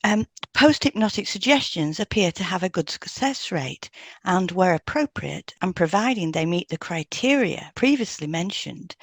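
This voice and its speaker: Lower-voiced woman on a dry, close podcast. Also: husky, no room sound. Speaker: podcast co-host, hypnotherapist. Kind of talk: scripted educational podcast read aloud.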